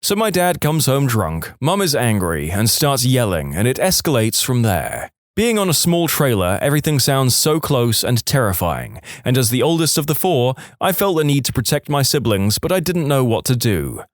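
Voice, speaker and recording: A man's voice: medium pitch, big pitch swings, moderate pace, hardly any pauses, no echo, studio quality. A man reading scripted online stories as a narrator, acting out the quoted speech.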